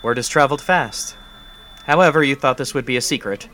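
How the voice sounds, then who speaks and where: A man's voice: mid-range voice, fairly quick, sharp tone, lightly acted. An actor playing a stern, formal foundation director who is protective of his organization, in an audio drama. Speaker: foundation head.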